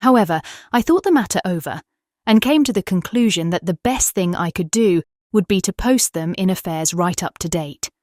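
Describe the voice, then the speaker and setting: A woman with a British accent playing a tender young Victorian wife, reading her diary in an earnest, expressive, formal way for a dramatised audiobook. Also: fairly low pitch, normal speed, no echo, studio quality, lightly acted.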